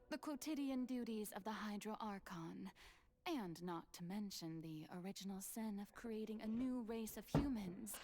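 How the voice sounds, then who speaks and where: A woman's voice: medium pitch, a little mellow, lightly acted. A woman playing a playful, theatrical, self-amused water goddess in a video game, with arch, flowery, formal diction. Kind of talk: scripted video game character acting. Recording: music behind the voice. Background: music.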